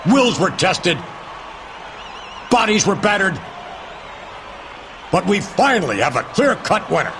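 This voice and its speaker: An actor voicing an older male wrestling chairman in a video game cutscene, announcing the winner in a booming, commanding way. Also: very animated, unhurried, long pauses, noisy background, exaggerated voice. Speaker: company chairman presenting the winner.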